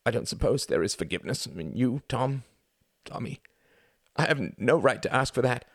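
A man's voice: lively intonation, moderate pace, a little mellow, breathy edge, no echo, studio quality. A man voicing an injured older brother who sobs and pleads, his voice breaking under guilt. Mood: anguished. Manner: pleading, voice breaking, strained and pitched up. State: sobbing. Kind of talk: audiobook character reading.

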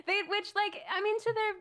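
A high-voiced woman chats as a guest singer on a podcast. She laughs easily and talks about herself in a self-deprecating, candid way. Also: expressive, moderate pace, a crowd in the background. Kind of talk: casual podcast chat.

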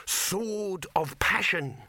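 A man voicing an excitable, pushy showman in an audiobook, with grandiose, forceful sales patter. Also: high-pitched, drawn-out words, no room sound, clean studio sound, voice pushed up, full character voice. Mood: grandiose, inspired. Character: excitable, pushy showman and fast-talking huckster, male.

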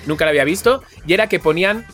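A man with a fairly high voice chats as a podcast co-host. He tells anecdotes in a colloquial way, slipping into quoted dialogue, with music in the background. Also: very fast, a bit bright. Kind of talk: casual banter and anecdotes.

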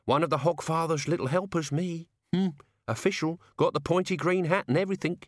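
A man voicing a shifty, leering male helper in an audiobook, coarse, loud and colloquial. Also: on the high side, fairly quick, dry recording, studio quality, voice pushed up, natural voice. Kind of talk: audiobook character reading.

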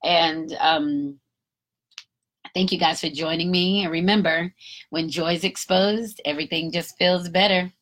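A woman's voice: on the low side, steady tone, unhurried, a bit bright. A woman in her fifties talking candidly on her solo podcast, encouraging listeners and cursing casually. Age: 50s. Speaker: podcast host. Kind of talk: reflective solo podcast talk.

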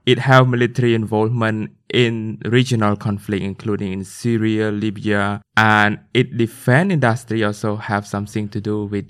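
Man, podcast host, formal, polite interviewer, somewhat low voice. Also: little pitch movement, moderate pace, few pauses, clean tone, no room sound, studio quality. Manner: formal, courteous questioning. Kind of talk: scripted intro then interview questions.

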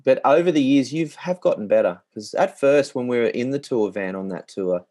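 オーストラリア訛りの30代男性が、ポッドキャストで淡々と単調な口調で雑談している。. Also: male voice, a little fast, dry recording, clean studio sound. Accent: Australian. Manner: monotonous, low-key delivery. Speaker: podcast co-host, musician. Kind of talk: casual chat.

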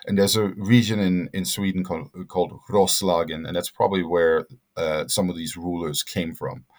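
A middle-aged man answering interview questions as a scholar, speaking haltingly with many fillers and restarts. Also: sits low, steady tone. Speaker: guest scholar.

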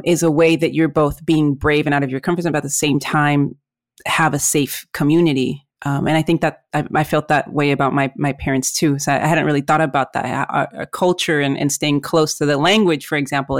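A woman's voice: low voice, no echo, clean studio sound. A woman who grew up in Mexico and whose first language is Spanish, a podcast guest speaking earnestly and slipping in Spanish phrases. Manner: earnest, mixes Spanish phrases, repeats words. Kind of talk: casual podcast conversation.

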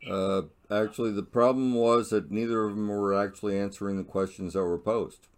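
A man's voice: on the low side, steady tone, a little fast. A man co-hosting a radio talk show, giving brief, matter-of-fact replies. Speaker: co-host.

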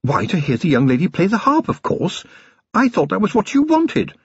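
A man voicing a genteel, forceful middle-aged aristocratic wife in an audiobook, emphatic and polite, briskly affectionate. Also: on the high side, big pitch swings, breathy edge, no room sound, highs cut off, voice pushed up. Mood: brisk, bossy. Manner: emphatic, polite, upper-class phrasing. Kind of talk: audiobook character voice.

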